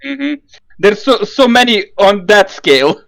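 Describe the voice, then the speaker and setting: High, lively young man, dry close sound. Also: a little slow, gravelly, no room sound, poor audio. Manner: dry teasing, precise about word choice. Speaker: podcast co-host. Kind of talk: casual group chat.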